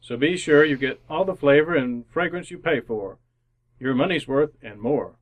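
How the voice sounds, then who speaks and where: A man's voice: on the high side, lively intonation, moderate pace, rough recording. A man announcing in old-time radio style, reading scripted sponsor spots, narration and credits. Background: music.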